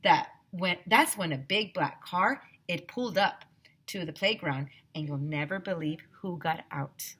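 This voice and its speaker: Female teacher for children's story time, animated and expressive, taking her time, with a bright forceful voice. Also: lowish voice, unhurried, breathy edge. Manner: expressive, addresses listening children directly. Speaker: teacher reading aloud to children.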